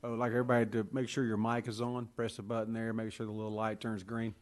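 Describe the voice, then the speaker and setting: A man on county staff briefing a public committee meeting in a steady, procedural way. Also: fairly low pitch, fast talker. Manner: steady, procedural, many fillers.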